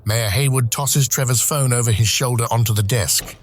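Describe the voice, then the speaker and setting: A man's voice: medium pitch, normal speed. A man reading scripted screenplay narration for an audio drama.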